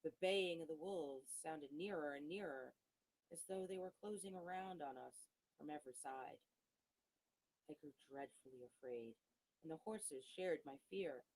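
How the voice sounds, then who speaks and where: A woman with a fairly low, gravelly voice narrates a gothic audiobook. Her tone is husky and creaky at the edges. She reads in a measured, dramatic way, pausing expressively between phrases. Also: expressive, a little mellow, low-quality recording. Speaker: audiobook reader.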